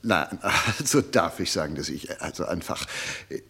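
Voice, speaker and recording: An actor playing a fawning, vain baron at court in a radio play, speaking in affected, mannered flattery. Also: male voice, deep voice, moderate pace, gravelly, husky, exaggerated voice. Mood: gushing, flattering. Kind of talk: German radio play acting.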